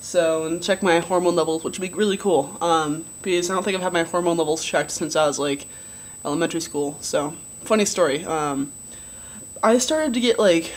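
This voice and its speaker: A young man with a relatively low voice giving a casual video diary update, rambling with many ums and likes. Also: brisk. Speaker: vlogger.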